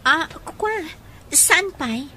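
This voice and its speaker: Peevish young Filipina daughter, radio serial; high, bright voice, dry studio sound. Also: female voice, animated, slow pace, a little hiss or hum, full character voice. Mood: flustered, evasive. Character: young woman, peevish, evasive daughter and girlfriend. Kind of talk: Ilocano radio drama acting.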